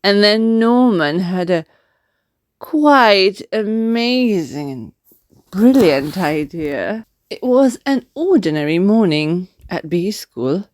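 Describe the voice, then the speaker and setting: A woman reading a children's picture book aloud as a storyteller, in a deliberate, expressive narrating style. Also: medium pitch, no room sound, clean studio sound.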